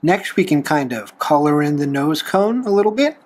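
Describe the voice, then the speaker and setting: Guy doing a drawing how-to, voice kinda high and swoopy, folksy, takes his time between steps. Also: animated, moderate pace. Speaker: host of a drawing tutorial show, reverend. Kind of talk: step-by-step drawing instruction. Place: talking from behind a camera while drawing.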